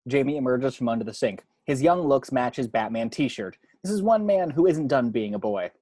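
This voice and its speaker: A man's voice: medium pitch, animated, moderate pace, mellow, no room sound, studio quality. A man reading out the action description of a screenplay as a scripted narrator.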